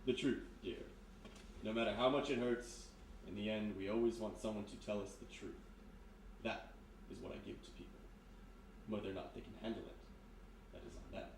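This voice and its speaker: Male actor as menacing hypnotist, soft-spoken, courteous, measured, radio drama style, echoey room, noisy distant mic. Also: on the low side, fairly even intonation, vocal fry. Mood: soft menace. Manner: measured, insinuating, polite, deliberate. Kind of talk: live 1940s-style radio mystery acting. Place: live performance in a historic house room. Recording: roomy distant live recording, background noise.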